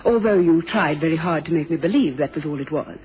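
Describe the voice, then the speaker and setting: Quick, pointed, determined young woman. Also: sits low, expressive. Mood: accusing. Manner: pointed, accusing. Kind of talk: radio drama acting. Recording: phone-like, reverberant old radio recording.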